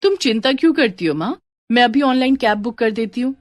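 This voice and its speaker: A woman's voice: fairly high pitch, expressive, fairly quick, no echo, studio quality, voice pushed up, close to an everyday voice. A woman voicing an earnest, dutiful college-age daughter in a story, eager and reassuring.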